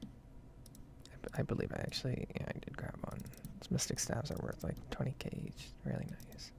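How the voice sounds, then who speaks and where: A woman softly whispering a casual, rambling ASMR commentary over a game. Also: moderate pace, background noise. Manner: soft-spoken, rambling, casual asides. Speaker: gaming video creator.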